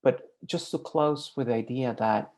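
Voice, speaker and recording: A man's voice: mid-range voice, measured pace, darkish tone. A man closing his presentation in a reflective, heartfelt way.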